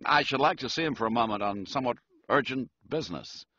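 A man's voice: mid-range voice, animated, fast, sharp tone, muffled recording, a little hiss or hum. A man voicing a tall, thin stranger who speaks in a clipped and formal way. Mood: curt.

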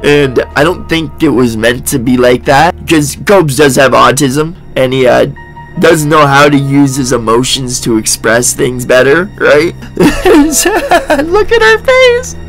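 A man's voice: mid-range voice, big pitch swings, takes it slowly, a bit bright, soft background music, poor audio. A man with a stuffed-up nose giving mocking reaction commentary, laughing often. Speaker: commentary host. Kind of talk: reaction commentary over a stream clip. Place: voice-over, not the pictured room.

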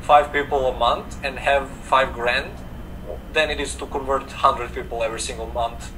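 A man's voice: medium pitch, normal speed. Serbian-accented young man on a webcam. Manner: relaxed, frequent "like" fillers, gestures while talking. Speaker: guest, affiliate marketer. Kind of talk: casual video call interview. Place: home room indoors. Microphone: video call webcam. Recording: distant webcam mic with air conditioner hum.

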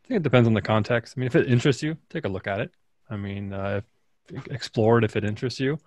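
A man's voice: medium pitch, fast, darkish tone, no echo. A man on a video call speaking into a desk microphone on an arm, giving his views in a direct, opinionated way. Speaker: panelist. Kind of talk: casual video call discussion. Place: home room. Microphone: desk mic on arm.

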